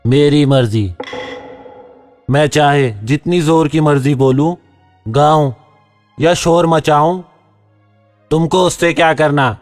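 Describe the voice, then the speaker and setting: A woman voicing a rude, boastful male donkey in a children's cartoon, speaking in a gruff, bossy, threatening way. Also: deep voice, takes it slowly, long pauses, a little mellow, in a large hall, soft background music, pitched below the performer's own voice. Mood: rude, dismissive.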